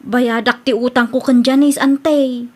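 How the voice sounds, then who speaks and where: Woman playing a high-voiced, wheedling Ilocano debtor. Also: fairly even intonation, measured pace. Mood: pleading. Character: evasive, pleading younger woman always short of money. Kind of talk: Ilocano comedy radio drama acting.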